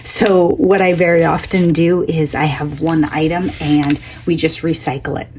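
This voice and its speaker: A woman hosting a casual crafting tutorial, scratchy from a cough, chatty and homey, thinking out loud as she works at her craft table. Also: sits low, flat delivery, moderate pace. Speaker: craft channel host.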